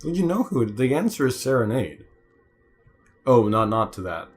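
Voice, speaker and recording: A man's voice: medium pitch, big pitch swings, normal speed, faint music behind. A man giving casual, joking commentary on a video game as a let's play commentator.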